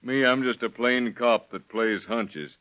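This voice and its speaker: A man's voice: mid-range voice, unhurried, lightly acted. A man acting a plain-spoken, stubborn police officer in an old radio drama, in a dull vintage recording. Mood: dogged, matter-of-fact. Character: plain-spoken, stubborn male cop who plays hunches.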